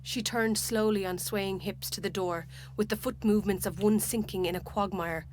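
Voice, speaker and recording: A woman with an Irish accent narrating a literary short story in a measured, dramatic way. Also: medium pitch, fairly even intonation, darkish tone, a little hiss or hum, low-quality recording.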